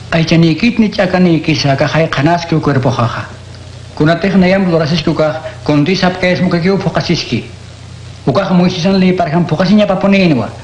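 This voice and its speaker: Hombre narrador solemne con eco. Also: male voice, a little high, reverberant, highs cut off, slight background noise.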